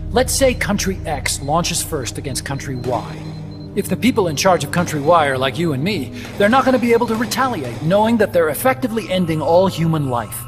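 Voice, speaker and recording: Eager male weapons scientist, highish voice, emphatic lecturer, game cutscene, music underneath. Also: big pitch swings, a little fast. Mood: earnest lecturing. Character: eager, talkative male weapons scientist explaining his machines. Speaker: weapons engineer character. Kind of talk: dramatic game cutscene dialogue. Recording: long reverberant hall, music underneath. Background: prominent background music.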